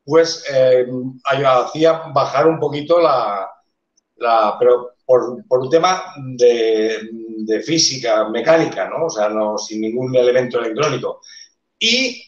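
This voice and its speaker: A man on a video call explaining motorcycle engineering in a live debate, with many pauses and restarts. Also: mid-range voice, animated. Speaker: engineer panelist. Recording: roomy, dull video call audio.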